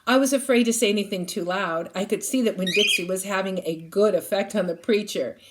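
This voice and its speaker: A woman's voice: medium pitch, a little fast, background noise. A woman reading a children's novel aloud expressively, like a teacher reading to a class.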